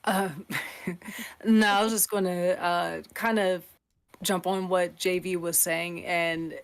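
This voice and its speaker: A woman's voice: lowish voice, little pitch movement, slow, clean tone. A woman on a podcast panel, talking casually and earnestly as she explains a point, acting out imagined quotes as she goes.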